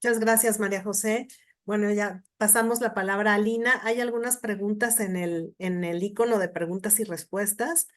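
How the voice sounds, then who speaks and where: A woman's voice: medium pitch, fairly even intonation, normal speed. A woman moderating a webinar, thanking speakers and handing over the floor in a friendly, organizing way.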